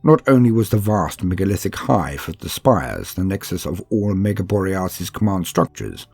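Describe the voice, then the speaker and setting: A man giving a calm, steady, measured reading of scripted war lore, in the style of a narrator for a sleep-aid audiobook. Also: sits low, breathy edge, dry recording. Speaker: narrator reading a campaign book.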